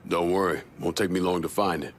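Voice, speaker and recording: A man playing a tough, calm young vigilante in a crime drama game, speaking in a dry, terse way. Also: brisk, slight background noise, natural voice. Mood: dry, steady. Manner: dry, terse, low-key. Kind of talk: dramatic video game acting.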